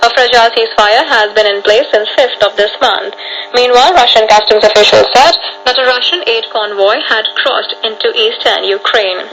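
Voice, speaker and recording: A woman's voice: a little high, moderate pace, a bit bright, soft background music. A woman with an Indian accent reading a scripted news bulletin on shortwave radio. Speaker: radio newsreader. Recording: noisy humming shortwave radio broadcast.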